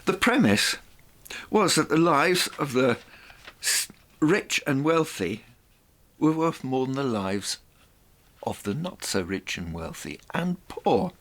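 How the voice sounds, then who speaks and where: A man presenting a reflective monologue in a theatrical, deliberate way, with rhetorical asides. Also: mid-range voice, big pitch swings, fairly bright tone.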